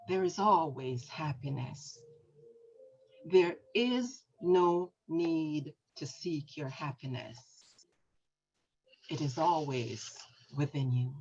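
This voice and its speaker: A woman voicing a gentle, loving divine inner voice that speaks softly and reassuringly in a guided meditation. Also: deep voice, breathy edge, exaggerated voice. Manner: soft, slow, whispery and reassuring. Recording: dull lo-fi recording.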